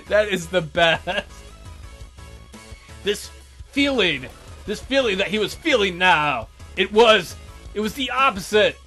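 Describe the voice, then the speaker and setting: Theatrical, high-voiced man over music. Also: animated, slow pace, long pauses, bright, forceful tone. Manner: theatrical, jokey, hammy delivery. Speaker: let's play host. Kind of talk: reading a visual novel aloud with dramatic commentary. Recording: background music behind voice.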